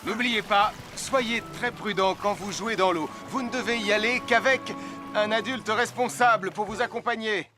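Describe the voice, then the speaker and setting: A man's voice: high-pitched, moderate pace, over music, lightly acted. A man voicing an upright, friendly fireman hero in a French cartoon dub, reassuring and instructive.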